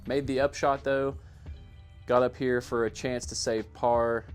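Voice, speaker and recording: A man giving a casual, self-deprecating voice-over commentary on his own amateur sports highlights in a matter-of-fact way. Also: level tone, measured pace, soft background music. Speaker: amateur disc golfer narrating own highlights.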